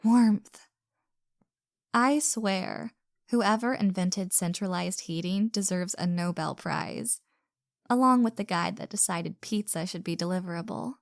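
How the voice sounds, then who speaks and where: An actress plays a caring young woman who is shyly smitten, in an intimate audio roleplay. She takes her time, stammering softly and earnestly, with some vocal fry. The recording is close and dry. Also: mid-range voice, unhurried, no echo. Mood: cheery, flustered. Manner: stammering, hesitant, soft and earnest. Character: flustered, caring young woman hosting her secret crush.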